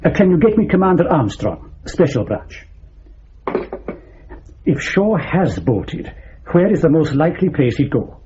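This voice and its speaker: An actor playing a commanding, wry senior intelligence officer in a radio drama, giving orders and questioning briskly. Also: male voice, a little high, big pitch swings, dark tone. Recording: muffled, slightly noisy radio drama recording.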